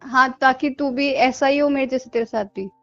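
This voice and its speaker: Actress as jealous young female best friend, high voice, defensive, sulky, muffled audio, music underneath. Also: fairly even intonation, moderate pace, a bit bright, no room sound. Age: young adult. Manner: snappy, sulky denials. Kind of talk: naturalistic phone-call relationship drama.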